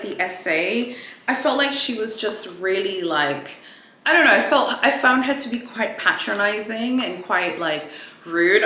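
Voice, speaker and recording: Bright, chatty woman vlogger. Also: medium pitch, roomy sound, slight background noise. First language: Swahili. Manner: chatty, rambling, with frequent restarts and fillers. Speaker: luxury unboxing vlogger. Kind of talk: casual chatty unboxing vlog. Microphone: ring light setup, camera mic.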